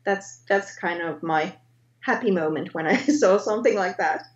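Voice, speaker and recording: A woman in her thirties from Finland with a Finnish accent, speaking English hesitantly as a guest on a video call, often pausing to search for words and correcting herself. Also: mid-range voice. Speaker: guest artist. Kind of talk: casual interview chat. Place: home art studio indoors. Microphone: webcam on video call. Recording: roomy, dull lo-fi webcam sound.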